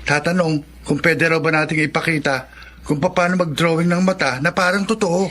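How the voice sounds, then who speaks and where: An actor playing a practical young Filipino friend and fellow artist in a radio drama. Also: male voice, a little high, steady tone, normal speed, a bit bright, a little hiss or hum, lightly acted. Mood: matter-of-fact.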